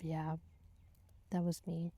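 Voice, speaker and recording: A woman's voice: sits low, completely flat delivery, slow pace, warm tone, no echo. A woman telling a casual comedic personal story to viewers in a dramatic, self-mocking way, repeating phrases for emphasis. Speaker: storytelling vlogger and former yacht crew member.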